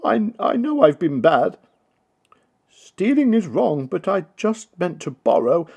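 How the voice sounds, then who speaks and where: A man plays a sheepish storybook thief, a grown man who is sorry for himself. The voice is raised and wavering in pitch, with a hesitant, apologetic delivery. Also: very animated, slow pace, vocal fry, darkish tone, breathy edge, full character voice. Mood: remorseful.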